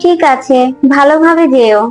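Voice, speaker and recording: A meek young wife in a cartoon moral story , played by a woman. She speaks very high, in a plaintive and anxious way. Faint music sits behind her. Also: moderate pace, darkish tone, lightly acted. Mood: resigned. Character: meek, anxious young daughter-in-law, gentle and loving. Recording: music bed under the voice.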